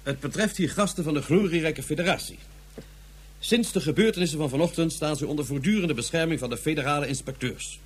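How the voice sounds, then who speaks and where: A man's voice: a little high, fast, slightly rough. An actor playing an officious male police inspector, speaking in a bureaucratic, pompous way. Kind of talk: radio drama acting.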